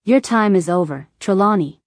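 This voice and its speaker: A woman's voice: medium pitch, lively intonation, moderate pace, no echo, clean studio sound, natural voice. A woman playing a fierce female pirate captain and werewolf in an audio drama, commanding and menacing.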